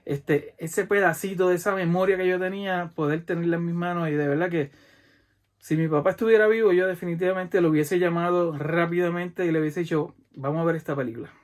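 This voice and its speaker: Animated Puerto Rican man, high voice. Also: a little fast. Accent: Puerto Rican Spanish. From Puerto Rico. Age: 40s. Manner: animated, imitates gunshots and action with mouth sounds. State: sweaty from the heat. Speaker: vlogger reviewing a film. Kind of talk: casual monologue retelling a movie.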